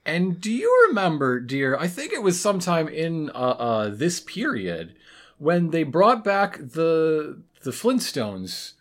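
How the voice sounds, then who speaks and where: A woman's voice: low-pitched, wildly swooping pitch, takes it slowly. A woman co-hosting a podcast, chatting casually through a comedic episode recap with a wry, sweary, riffing manner. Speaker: podcast co-host.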